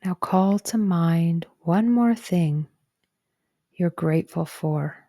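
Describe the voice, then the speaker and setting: A woman narrating a guided meditation in a gentle, calm and soothing way, with soft breathy phrase endings. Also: on the low side, takes it slowly, no echo, clean studio sound. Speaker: psychologist guiding a meditation.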